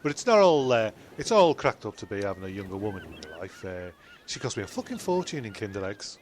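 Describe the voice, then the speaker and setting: A man's voice: lowish voice, sing-song, a little fast, a little hiss or hum. A man voicing a frustrated, flustered male stand-up comic who swears a lot.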